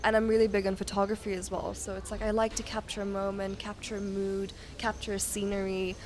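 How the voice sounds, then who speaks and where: A woman in her twenties from Kenya speaks as a guest in a casual interview. Her voice sits at a medium pitch. She chats freely, telling personal stories and slipping into quoted asides. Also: monotone, fast talker, crowd noise. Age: 20s. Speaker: podcast guest.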